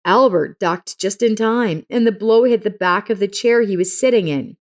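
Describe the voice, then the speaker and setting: A woman's voice: mid-range voice, moderate pace, darkish tone. A woman narrator reading a scripted dramatic story.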